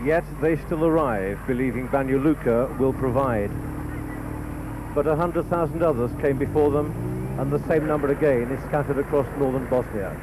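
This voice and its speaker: Male correspondent, medium pitch, scripted report, noisy outdoor background. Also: normal speed. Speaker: TV news reporter. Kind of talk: scripted news report narration.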